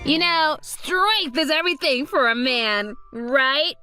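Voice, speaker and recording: A woman's voice: high-pitched, lively intonation, slow, sharp tone, heavily acted. A woman voicing a brash teenage wannabe rebel girl in an anime-style romcom, secretly sweet, her bravado slipping into bashful stammers. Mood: mocking bravado. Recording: music under the voice. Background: background music.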